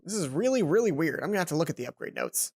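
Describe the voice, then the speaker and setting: Woman as a quick-talking, friendly, worried guy. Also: low voice, very animated, darkish tone, breathy edge, full character voice. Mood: bewildered. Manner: casual, hesitant, buddy-like talk. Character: friendly bearded man, worried robot caretaker. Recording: music behind the voice.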